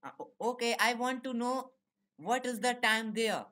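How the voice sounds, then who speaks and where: A young man with an Indian accent chats casually on a phone video call, asking questions haltingly in simple, repetitive English. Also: very high-pitched, pushed and bright. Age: young adult. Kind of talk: casual chat with strangers.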